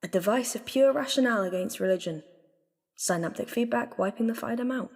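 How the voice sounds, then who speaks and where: A woman's voice: a little high, fairly quick, close to an everyday voice. A woman playing a knowing, principled doctor in a science-fiction audio drama, in a long reverberant space with music. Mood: grave. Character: knowing, principled female doctor who defends alien life.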